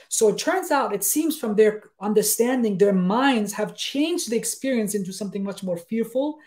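A man in his thirties with a soft-edged, mellow voice. He explains as a guest researcher on a video call, talking conversationally in emphatic, halting phrases and checking in as he goes. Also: high voice. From Denmark. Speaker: guest expert, sleep researcher. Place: small room with bookshelves, on a video call.

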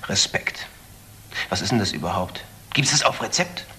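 An actor playing a mocking, flippant, idle nephew in a German crime drama. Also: male voice, expressive, moderate pace, pushed and bright, heavily acted. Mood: sardonic. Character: sardonic, idle nephew of a stern doctor. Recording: background noise, car rumble in places.

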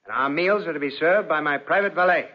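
An actor playing a coldly cruel, genteel husband with mock tenderness, in 1940s radio drama style. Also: male voice, fairly high pitch, fairly quick, lightly acted. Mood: coldly commanding. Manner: suave, mock-tender, pompous. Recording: muffled, dull 1940s radio recording.

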